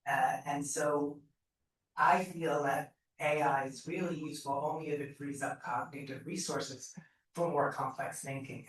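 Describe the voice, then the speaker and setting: A man in the middle range of pitch asks an earnest, searching question, stopping to restart his phrases. He sounds far from the mic, in a booming, echoey hall. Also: fairly bright tone, slight background noise. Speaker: audience member asking a question, educator. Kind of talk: panel Q&A question. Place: large event hall.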